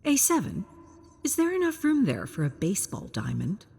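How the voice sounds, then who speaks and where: A woman's voice: mid-range voice, huge pitch swings, moderate pace, creaky, a little mellow, husky, pitched above the performer's own voice, heavily acted. A woman voicing a curious, troubled male space colonist in a narrated sci-fi story, informal and questioning. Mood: curious, hopeful.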